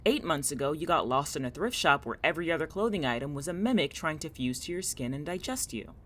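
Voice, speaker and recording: A man playing a guarded, paranoid college student in an audio drama, with a dry, grudging deadpan delivery. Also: fairly high pitch, quick pace, a little hiss or hum. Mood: exasperated. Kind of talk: naturalistic audio drama acting.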